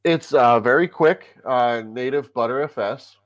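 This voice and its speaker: A man with a stuffy, congested voice, co-hosting a casual tech podcast over a remote call and pausing often with filler sounds. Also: medium pitch, muffled recording. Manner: halting, many filler sounds. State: congested from a cold. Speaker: podcast co-host.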